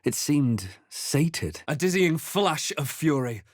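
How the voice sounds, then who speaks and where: A man voicing a brooding, wry fantasy adventurer hero in a video game, with measured, dramatic delivery. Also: on the high side, very animated, no room sound, studio quality, exaggerated voice. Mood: grave, haunted. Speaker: video game player-character voice actor. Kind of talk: dramatic first-person fantasy game narration and voice lines.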